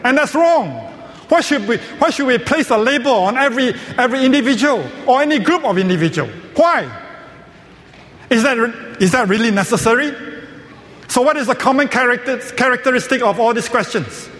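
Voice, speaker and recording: A man lectures with jokes and quiz questions that he answers himself. His voice sits very high. Long reverb from the hall trails after each phrase. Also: animated, brisk, fairly bright tone. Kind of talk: joke-filled lecture.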